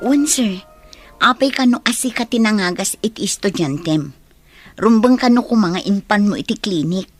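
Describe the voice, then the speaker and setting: A woman's voice: medium pitch, lively intonation, moderate pace, a little mellow, faint music behind, close to an everyday voice. A woman with an Ilocano accent playing a polite, gossipy schoolteacher in an audio drama. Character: inquisitive, gossipy female schoolteacher, polite to colleagues.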